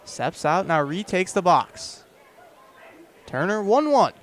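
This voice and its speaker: A man's voice: high-pitched, big pitch swings, takes it slowly. A young man doing live radio baseball play-by-play, with vivid descriptive calls that rise with the action. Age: young adult. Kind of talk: live radio sports commentary. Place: outdoors at a ballpark.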